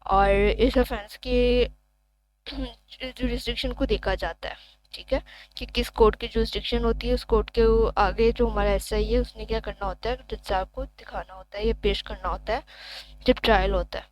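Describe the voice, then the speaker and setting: Higher-pitched Pakistani woman teaching, noisy recording. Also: fairly even intonation, normal speed. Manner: explanatory, with rhetorical questions. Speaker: law teacher. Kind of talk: informal lesson, reading out and explaining. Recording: noticeable background noise with hum.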